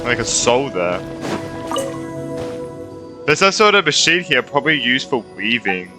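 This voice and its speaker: A man's voice: mid-range voice, very animated, a little slow, music in the background. A young man giving casual, reactive gaming commentary and reading game dialogue aloud in an informal way. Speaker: gaming streamer.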